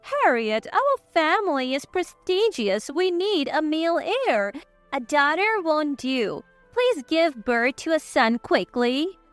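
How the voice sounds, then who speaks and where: A woman's voice: high-pitched, very animated, takes it slowly, no echo, soft background music, full character voice. A woman voicing a haughty, scolding older mother-in-law in a story, imperious and reproachful.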